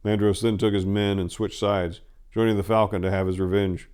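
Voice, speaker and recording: A gossiping male drinking companion, played by a man reading aloud spins tales in a fantasy story. He has a fairly low voice and a chatty, amused manner. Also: little pitch movement, a little fast, darkish tone, smooth, natural voice.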